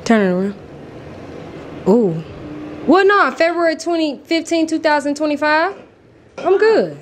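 A young American woman chatting casually for a vlog, with a playful, slangy, animated way of talking. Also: sits high, a little slow, a little hiss or hum. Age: young adult. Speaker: vlogger. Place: bright open-plan apartment kitchen and living room. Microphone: handheld camera. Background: music.

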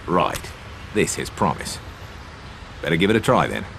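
A man's voice: low-pitched, big pitch swings, normal speed, creaky, fairly bright tone, exaggerated voice. An actor voicing a cocky, slangy male mercenary in a game. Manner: slangy, joking. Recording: game audio, noisy.

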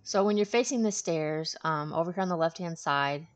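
Woman with a low voice, casual game walkthrough commentary, explains as she goes. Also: moderate pace. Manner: informal, explanatory. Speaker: video game walkthrough host.

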